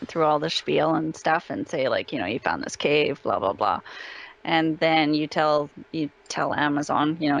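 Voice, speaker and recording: A woman chatting casually as an interview guest, telling stories in an animated, chatty way. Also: low voice, creaky. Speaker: voice actress being interviewed.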